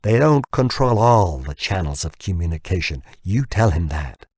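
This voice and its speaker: Guy doing a bitter american guy in an audiobook, sweary n taunting, kinda husky, dry sound. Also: deep voice, big pitch swings, normal speed, a little mellow, dry recording, heavily acted. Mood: triumphant, bitter. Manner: sweary, taunting, tumbling over his words. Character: bitter, ranting American man, triumphant and enraged. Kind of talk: audiobook character dialogue.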